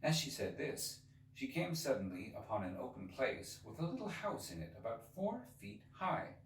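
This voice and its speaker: A man reading a children's story aloud as expressive scripted narration. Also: mid-range voice, moderate pace, a little hiss or hum. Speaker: storybook reader. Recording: roomy, echoey, distant from the mic.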